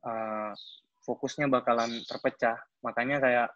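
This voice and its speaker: Hesitant young man, flat dull sound. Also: medium pitch, normal speed, no room sound. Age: 20s. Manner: hesitant, with frequent fillers and restarts. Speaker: athlete speaking at a coaching clinic. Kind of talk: casual personal account.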